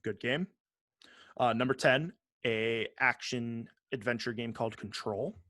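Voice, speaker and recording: A man's voice: mid-range voice, measured pace, pushed and bright, no echo, studio quality. A man co-hosting a podcast, chatting casually with some swearing as he runs through a ranked list. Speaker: podcast co-host. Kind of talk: casual chat, reading out a ranked list with commentary.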